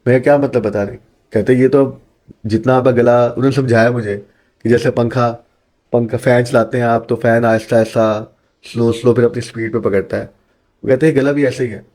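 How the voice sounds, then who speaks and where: A man's voice: mid-range voice, fairly even intonation, fairly quick, darkish tone. A man from Pakistan, an accounting teacher, answering interview questions in an earnest, explanatory way and mixing in English phrases.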